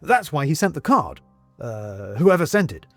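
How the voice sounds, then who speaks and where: Flustered, defensive everyman, played by an audiobook reader, male, halting stammer, lively pitch, dry sound with music. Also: no echo. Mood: rambling, defensive. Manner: stammering, halting, repeats words. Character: flustered, defensive, self-justifying middle-class man.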